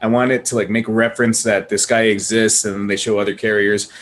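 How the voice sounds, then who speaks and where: Male panelist, slightly deep voice, casual and opinionated, swears freely. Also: level tone, fairly quick. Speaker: podcast panelist. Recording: clear background noise.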